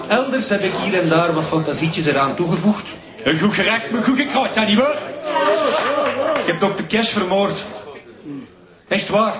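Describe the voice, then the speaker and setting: An actor with a Flemish accent playing a bitter, volatile stand-up comedian with a taunting, theatrical delivery in a radio drama. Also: male voice, sits high. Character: bitter, volatile comedian and confessed killer, brother. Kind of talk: radio drama acting, stage monologue. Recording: roomy club hall, loud audience noise.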